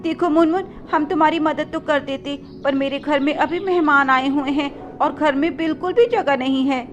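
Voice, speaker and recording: A woman's voice: high voice, normal speed, highs cut off, faint music behind, pitched above the performer's own voice, close to an everyday voice. A woman voicing an unwelcoming, evasive crow wife in a cartoon, with a firm, excusing manner. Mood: refusing.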